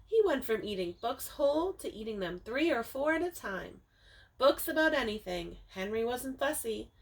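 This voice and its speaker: A woman teacher reading a picture book aloud to young children, expressively, with dramatic emphasis and drawn-out words, speaking to them directly. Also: on the high side, sing-song, rough recording. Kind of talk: animated children's storybook read-aloud.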